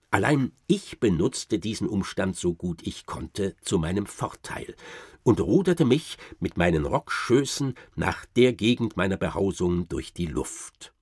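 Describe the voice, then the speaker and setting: Radio play raconteur: self-satisfied gentleman hunter, lowish voice with very animated, swooping delivery. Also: breathy edge, no room sound, clean studio sound, full character voice. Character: boastful gentleman hunter spinning absurd tall tales. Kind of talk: theatrical first-person storytelling, German radio drama.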